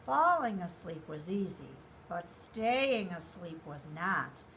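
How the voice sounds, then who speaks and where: A woman's voice: lowish voice, sing-song, takes it slowly, a bit bright, a little hiss or hum. A woman reading a children's picture book aloud expressively, speaking directly to young listeners. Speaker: story reader.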